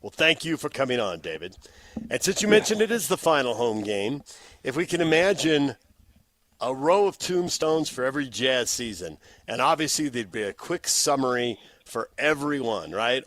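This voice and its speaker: A man's voice: mid-range voice, sing-song, normal speed, fairly bright tone, no echo. A man with a mid-range voice works as a sports radio host. He puts questions conversationally, with a wry, playful touch.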